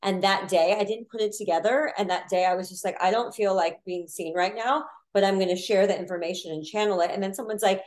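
A woman astrologer, a podcast guest, chatting casually and telling quick animated stories with voiced asides. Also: mid-range voice. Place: Florida.

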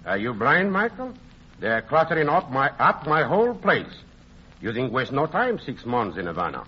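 An actor playing a fond, teasing old European art dealer in an old-time radio drama, speaking expressively and haltingly. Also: male voice, medium pitch, wildly swooping pitch, husky. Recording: muffled, hissy old radio recording.

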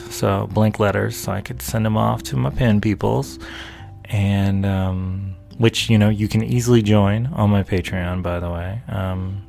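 A gravelly man from North Carolina talks casually about his painting and his day. His voice sits fairly low and rambles along with easy amusement, with soft music far in the background. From North Carolina, USA. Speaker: painter and video maker. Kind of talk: casual talking-head chat.

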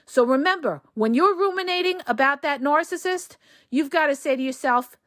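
Hoarse woman giving blunt relationship advice. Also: sits high, normal speed, fairly bright tone, no room sound, clean studio sound. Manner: direct, emphatic, keeps checking in with the listener. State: hoarse from a cold. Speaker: relationship coach and podcast host. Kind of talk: casual advice monologue.

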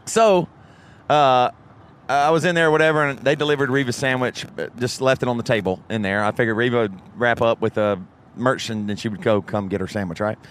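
A man with a loud voice, co-hosting a podcast in casual chat, blunt and animated and swearing freely as he tells a story. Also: mid-range voice, a little fast, clean tone. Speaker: podcast co-host.

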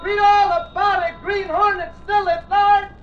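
An actor playing a young newsboy hawking an extra edition in a sing-song street cry on 1940s radio. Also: male voice, extremely high pitch, level tone, measured pace. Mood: urgent hawking. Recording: muffled old radio recording, faint music.

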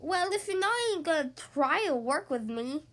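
A woman's voice: high voice, expressive, unhurried, a bit bright, heavily acted. A woman voicing a big, scruffy boy monster who is blustering, slurpy and whiny.

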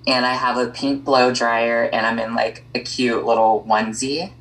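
A woman's voice: extremely low pitch, fairly even intonation, moderate pace, low-quality recording. A woman speaking as a podcast guest in a casual conversation, easygoing and thinking aloud with frequent ums and likes.

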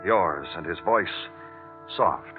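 An actor playing a weary, hard-boiled police detective who questions tersely and narrates in a brooding, poetic way in a radio drama. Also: male voice, low-pitched, takes it slowly. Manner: clipped, sardonic, poetic narration. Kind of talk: 1950s radio noir acting and narration. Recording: old narrow-band radio recording with music.

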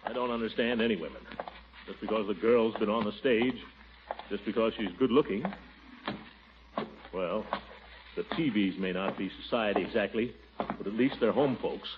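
An actor playing a pompous, blustering middle-aged bachelor who hems and stammers, in 1940s radio acting. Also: male voice, on the low side, normal speed, pauses often, background noise, natural voice. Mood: grumbling alone. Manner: hemming, stammering, coaxing. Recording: muffled vintage radio broadcast.

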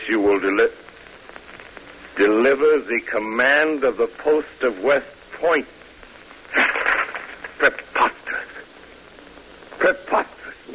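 An actor playing a stubborn, pompous old army captain in old-time radio drama, haughty, clipped and indignant. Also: male voice, mid-range voice. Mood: outraged disbelief. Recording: hissy vintage radio recording.

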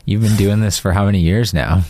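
Low-voiced man interviewing casually. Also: fairly even intonation, fairly quick, dark tone. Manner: informal, conversational. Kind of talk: casual chat.

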